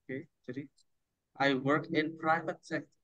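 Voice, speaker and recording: A man's voice: on the high side, fairly even intonation, moderate pace, a bit bright, no echo, muffled recording. A man with an Indonesian accent, tutoring an online English speaking class and giving upbeat, encouraging feedback. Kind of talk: online group speaking practice.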